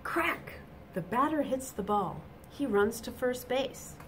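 Animated, high-voiced woman reading to children. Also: a little hiss or hum. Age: middle-aged. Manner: expressive, deliberate, emphatic exclamations. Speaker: reader of a children's picture book. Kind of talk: animated read-aloud storytelling for children. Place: small indoor office or classroom.

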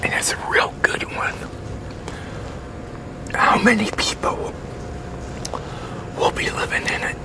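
Male, sixties, breathy whisper, unhurried halting delivery, ASMR office roleplay. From United States. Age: 60s. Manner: hesitant, halting. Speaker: ASMR creator playing a leasing agent. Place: outdoor covered picnic pavilion with metal tables.

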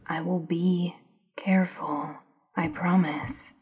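A woman playing a defiant, reckless young sister in an audio drama, with a husky, breathy voice. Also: on the low side, steady tone, slow, darkish tone, a little hiss or hum. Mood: stubborn, determined. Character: defiant, reckless, foul-mouthed woman bent on pursuit. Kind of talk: ASMR audio drama acting.

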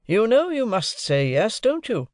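A man performs a domineering, middle-aged lawyer in an audiobook. He is brusque and emphatic, as if questioning a witness. The pitch is high and swings widely in a dry, close recording. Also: sing-song, a little mellow, no room sound. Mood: triumphant, overbearing. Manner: cross-examining, emphatic, deliberate. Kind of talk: audiobook character dialogue.

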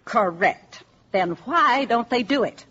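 An actress playing a fretful, nosy boarding-house landlady in a 1950s radio drama, fussy and excitable. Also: a little high, slow, heavily acted. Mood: alarmed reading. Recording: dull, hissy 1950s radio recording.

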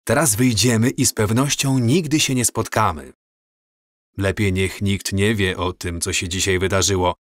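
A man playing an earnest time traveler in an audio drama, speaking gravely and in a measured, explanatory way. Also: fairly low pitch, fairly even intonation, fairly bright tone, no echo, clean studio sound, close to an everyday voice. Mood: grave, urgent. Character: earnest married time traveler warning of coming catastrophe.

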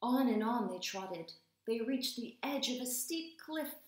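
Expressive, slow-paced woman reading to children. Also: on the high side, a little hiss or hum. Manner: dramatic, deliberate, with hushed stretches. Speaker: English teacher. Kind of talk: expressive read-aloud for young children. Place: small room at home, indoors. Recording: roomy indoor echo.

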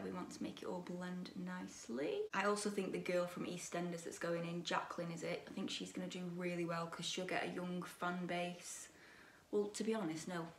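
A young woman in her twenties chatting while she does her makeup at a dressing table, gossipy and opinionated, her voice a bit croaky. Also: on the low side, reverberant, recorded from a distance, slight background noise. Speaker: beauty vlogger. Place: bedroom dressing table with lit mirror, indoors.

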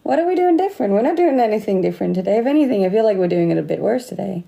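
Woman, late 20s, Danish, slight Australian accent, gravelly, mellow, relaxed livestream chat. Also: mid-range voice, rapid-fire, lo-fi sound. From Denmark. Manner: relaxed, chatty, laughs often. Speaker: livestream host. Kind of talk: casual livestream chat reading comments. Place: indoor room. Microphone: phone close to face.

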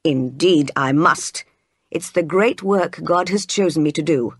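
A narrator voicing a stern, devout young vicar in a storybook, slow, grave and restrained. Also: mid-range voice, slightly rough, dry recording, clean studio sound. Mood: resolute, fervent.

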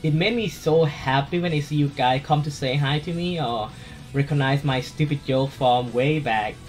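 A man with a Thai accent, hosting his gaming channel in a casual voice-over chat, soft-spoken and a little hesitant. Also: on the high side, moderate pace, soft background music.

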